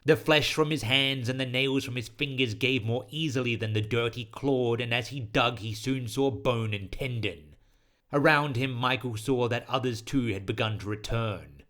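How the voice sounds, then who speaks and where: A man narrating a scripted horror story in a solemn, deliberate, literary manner. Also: medium pitch, a bit bright.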